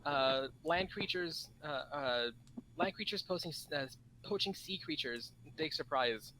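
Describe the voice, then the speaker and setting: A man discussing casually on a podcast in a halting way, with many pauses and repeats. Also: on the high side, pushed and bright, a little hiss or hum, low-quality recording.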